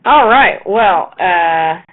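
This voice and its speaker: A woman's voice: lowish voice, expressive, drawn-out words. Hesitant woman on a tinny line. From Los Angeles. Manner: hesitant, repeats words, modest. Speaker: guest comedian. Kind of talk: casual interview chat. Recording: tinny phone-like audio over a video call app.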